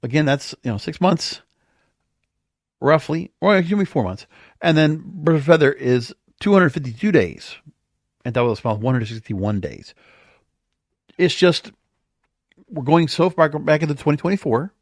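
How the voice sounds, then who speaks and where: Man with lively, varied pitch, talking off-the-cuff. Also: normal speed, long pauses, dry recording, studio quality. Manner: off-the-cuff, thinking aloud while reading figures. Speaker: podcast host. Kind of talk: casual music chart commentary.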